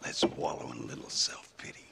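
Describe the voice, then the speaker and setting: An actor playing a world-weary, wry old American gunslinger with a gravelly drawl in a western video game. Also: male voice, a little fast, noisy background, close to an everyday voice. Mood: rueful. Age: older. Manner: wry, drawling, chuckling cynicism.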